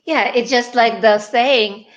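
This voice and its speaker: A woman's voice: fairly high pitch, fairly even intonation, takes it slowly. A woman from Russia co-hosting a casual online interview over a video call, playful and laughing between her words. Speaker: co-host. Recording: dull lo-fi video call audio.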